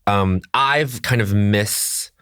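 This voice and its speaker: A man's voice: on the low side, slow, fairly bright tone, clean tone, no room sound, studio quality. A man in his thirties co-hosting a podcast, speaking earnestly and thoughtfully, searching for words. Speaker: podcast co-host. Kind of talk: casual chat.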